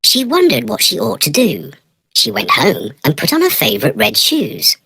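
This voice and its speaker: A woman's voice: mid-range voice, wildly swooping pitch, normal speed, creaky, pushed and bright, breathy edge, no echo. A woman narrating a children's storybook from a script.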